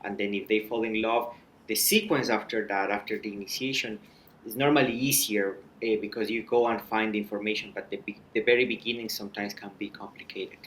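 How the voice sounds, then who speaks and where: Man, 30s, low pitch, measured, reflective, faint background noise. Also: steady tone, a bit bright, poor audio. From Ecuador. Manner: measured, with frequent restarts and filler. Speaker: mountain guide, podcast guest. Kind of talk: thoughtful conversational storytelling.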